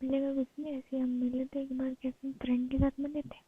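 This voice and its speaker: A young woman in a casual private phone chat, soft-spoken and sometimes close to a whisper, with a husky voice. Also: high-pitched, little pitch movement, brisk. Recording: narrow tinny phone call recording.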